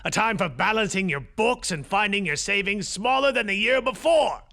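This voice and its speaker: A grouchy old miser for an audio drama. The voice is gravelly and sharp, pitched high for a man and swinging widely, with curt, scornful emphasis. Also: moderate pace, heavily acted. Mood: bitter scorn. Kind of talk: theatrical audio drama acting.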